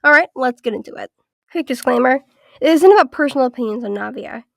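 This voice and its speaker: Woman video essayist, higher voice, raspy and stuffed-up from illness, methodical scripted read, dry close sound. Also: expressive, quick pace, no echo. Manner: structured, list-by-list evaluation.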